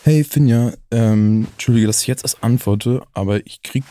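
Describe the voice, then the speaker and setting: An actor playing a downcast young activist in an audio drama, haltingly leaving an apologetic, self-deprecating voice message. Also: male voice, mid-range voice, mellow, slight background noise, lightly acted. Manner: halting, hesitant, self-deprecating, repeats himself.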